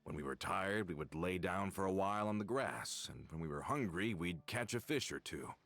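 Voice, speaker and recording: A man's voice: low voice, lively intonation, moderate pace, creaky, fairly bright tone, heavily acted. An actor playing an older, loyal male worker in a game, earnest and nostalgic. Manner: reminiscing, earnest. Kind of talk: game voice acting.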